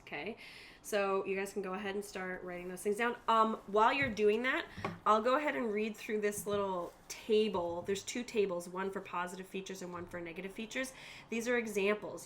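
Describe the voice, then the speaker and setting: A woman's voice: medium pitch, a bit bright, slight background noise. A woman game master explaining tabletop rules to players in an informal way and reading out lists of examples.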